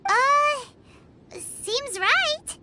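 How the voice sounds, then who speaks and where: A woman voicing a tiny, cheeky, excitable childlike fairy companion in an anime-style game. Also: very slow, very noisy, exaggerated voice. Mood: perky, thoughtful. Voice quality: squeaky, childlike. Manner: refers to self in third person, blunt, exclamatory. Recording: music behind the voice.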